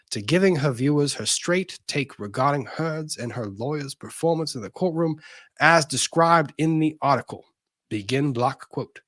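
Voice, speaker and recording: A man's voice: mid-range voice, fairly bright tone, no echo. A man doing a dramatic reading of a legal document, stressing quoted phrases theatrically and dropping in short asides. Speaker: host of a legal commentary show.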